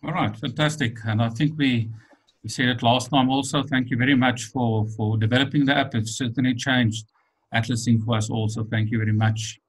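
A man's voice: medium pitch, fairly even intonation, fairly quick, slightly rough, rough recording. A man hosting an online webinar, thanking his guest and introducing the next part with fillers. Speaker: webinar host. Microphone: online call.

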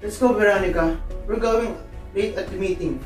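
A man's voice: high voice, normal speed, lightly acted. A man playing a stern, proud businessman father in an amateur melodrama, scolding and boastful. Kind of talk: amateur one-act melodrama. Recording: echoey room, distant mic, background music.

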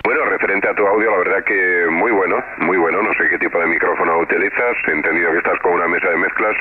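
A man's voice: sits low, steady tone, quick pace. A man with a Spain Spanish accent, casually giving audio reports as an amateur radio operator. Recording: narrow tinny band, clear background noise.

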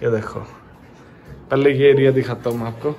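A man's voice: mid-range voice, fairly even intonation, fairly quick, dark tone. Young male vlogger, casual house-tour chatter, handheld camera, faint noise. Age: young adult. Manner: chatty, addresses viewers as "bhai". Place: indoors in a family home, walking room to room. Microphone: handheld action camera.

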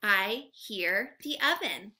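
A young woman reading aloud to small children like a teacher, asking simple questions in an animated, carefully enunciated way. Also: medium pitch, takes it slowly, noisy background. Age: young adult. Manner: animated, exaggerated, enunciated for little learners. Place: living room at home, indoors.

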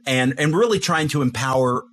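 A man's voice: mid-range voice, expressive, a little fast, fairly bright tone, slight background noise. A middle-aged man, a professor and guest, chatting with dry humor over a video call into a studio mic in a home office. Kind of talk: casual chat.

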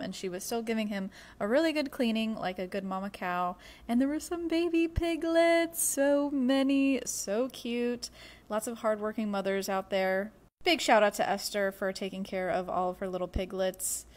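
A young woman narrating a casual vlog voice-over in a chatty, enthusiastic way. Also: a little high. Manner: chatty and enthusiastic, says y'all. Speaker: vlogger.

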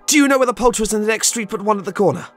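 An actor playing a giddy, jubilant old gentleman, a reformed miser, with an exclamatory, stammering manner in an audio drama. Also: sits high, rapid-fire, soft background music. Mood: giddy joy. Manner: exclamatory, stammering with excitement, chuckling. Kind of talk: theatrical audio drama acting.

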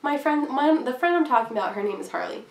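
A young woman in her twenties with a voice hoarse from a cold, chatting casually and rambling to camera with many ums. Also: sits high, lively intonation, fast talker, faint music behind. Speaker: craft vlogger. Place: small room indoors at home. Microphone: camera at a distance. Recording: roomy, from a distance, faint hiss.

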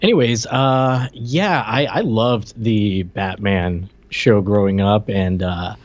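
An American man in his thirties or forties chatting casually as a podcast co-host, halting and dryly joking. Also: on the low side, dry recording, lo-fi sound. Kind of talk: casual pop-culture chat. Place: room at home, on a video call. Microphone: desk microphone up close.

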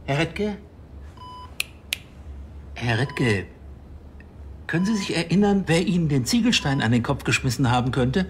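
A man's voice: on the high side, animated, unhurried, pauses often, slight background noise. An actor playing an earnest, stubborn middle-aged policeman in a TV crime comedy. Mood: earnest, insistent.

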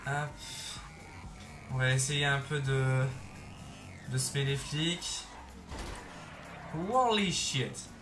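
A young man chatting casually over his gameplay on a headset mic, excitable and throwing in English exclamations. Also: mid-range voice, extremely slow, long pauses. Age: young adult. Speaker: gaming YouTuber. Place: bedroom. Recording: roomy sound with game music behind.

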